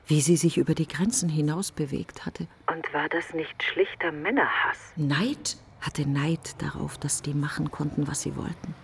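A woman's voice: sits low, vocal fry, a little hiss or hum. An actress playing a grieving, self-accusing woman who broods in fragmented questions in a literary radio play monologue. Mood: brooding. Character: grieving, self-accusing woman mourning her best friend.

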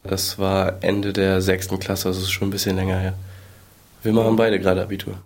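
Very deep, flat young man. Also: brisk, gravelly. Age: young adult. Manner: flat, matter-of-fact. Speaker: interviewee.

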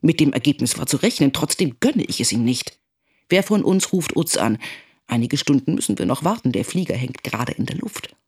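Radio drama role: brooding, resentful middle-aged mother, husky, expressive range. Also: extremely low pitch, a little fast, full character voice. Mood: tense, resentful. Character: anxious, angry middle-aged mother estranged from her husband. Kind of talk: dramatic first-person monologue.

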